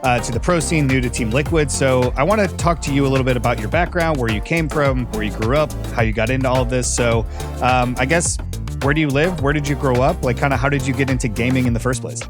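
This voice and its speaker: A man's voice: medium pitch, fast talker, music in the background. A man hosting a podcast with playful, polished patter, speaking into a podcast microphone. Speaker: podcast host. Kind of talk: scripted-sounding intro, then casual interviewing.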